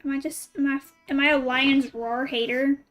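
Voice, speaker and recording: A woman's voice: high voice, level tone, normal speed, a bit bright, soft background music. A woman chatting casually on a game livestream, thinking aloud informally and putting questions to her viewers. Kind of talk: casual livestream chat with viewers while playing.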